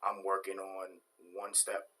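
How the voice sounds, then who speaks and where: A man's voice: low voice, monotone, a little slow, bright, forceful tone. A young man with a Southern US accent, talking in a laid-back, rambling and earnest way as a guest on a casual talk show. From New York. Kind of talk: casual chat.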